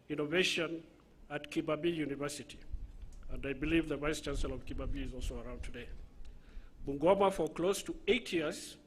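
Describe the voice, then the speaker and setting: Somali-accented male emcee, husky, a bit high, reads a long formal citation with dry asides. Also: a bit bright. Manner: measured, with asides to the audience. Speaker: ceremony emcee reading a citation. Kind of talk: formal ceremonial introduction. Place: large graduation ceremony venue.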